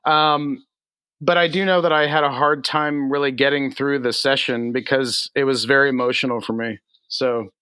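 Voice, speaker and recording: A man from Texas speaking as a musician guest in a casual interview, a little halting. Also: medium pitch, steady tone, sharp tone, smooth, dry recording. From United States, raised in Texas. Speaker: singer-songwriter guest.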